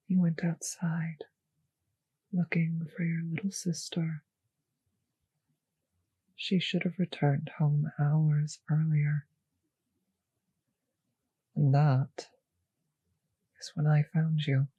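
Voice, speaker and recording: A woman playing a possessive, teasing vampire mistress in an intimate roleplay audio drama, speaking slowly and condescendingly with a breathy voice that often sinks to a whisper. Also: sits low, flat delivery, dark tone, no room sound, dull audio, full character voice. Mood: menacing, hushed.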